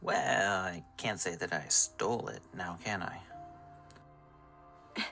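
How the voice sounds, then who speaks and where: A man playing a gruff, guarded spaceship captain in a sci-fi radio drama, blunt and suspicious. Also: sits low, very slow, pushed and bright, faint music behind, heavily acted. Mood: guarded, reflective.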